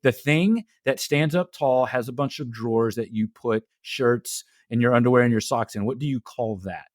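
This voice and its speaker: A man from Texas hosting a casual interview podcast, talking with lively emphasis and often repeating words as he starts a sentence. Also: medium pitch, moderate pace, no room sound, clean studio sound. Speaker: podcast host.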